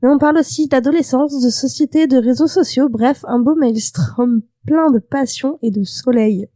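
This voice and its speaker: A woman hosting a podcast interview, chatty and quick to laugh, with many fillers. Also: high voice, mellow, no room sound. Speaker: podcast host.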